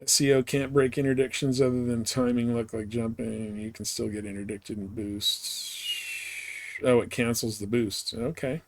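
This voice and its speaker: A man's voice: mid-range voice, measured pace, slight background noise. Man casually rambling on a game stream. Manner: rambling, thinking aloud while playing. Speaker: video game livestreamer. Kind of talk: casual gaming stream chat.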